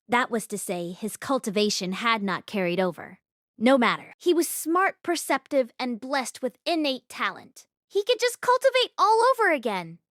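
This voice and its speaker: Lady reading an audiobook out loud, kinda high voice, really dry close sound. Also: no room sound. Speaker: audiobook reader. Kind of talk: expressive read-aloud story narration.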